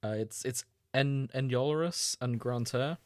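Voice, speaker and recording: A man in casual podcast conversation as a co-host. His voice sits at an average male pitch. The sound is dry, with hardly any room on it. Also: a little slow, dry recording.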